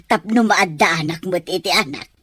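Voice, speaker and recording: A woman playing a cheerful, teasing older housemaid in an Ilocano audio drama, motherly and expressive. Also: lowish voice, moderate pace, slightly rough, no room sound.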